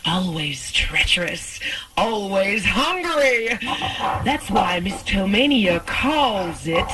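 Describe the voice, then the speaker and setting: A woman's voice: medium pitch, sing-song, slow pace, sharp tone, soft background music, exaggerated voice. A woman voicing a sinister female ghost hostess with a mock-gracious, cackling manner. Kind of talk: haunted attraction spiel. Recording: distant with faint noise.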